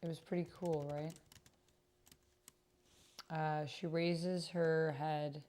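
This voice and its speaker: A woman streamer chatting casually and reading typed roleplay aloud, sometimes halting as she types along. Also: sits low, level tone, mellow. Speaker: streamer playing an online roleplaying game.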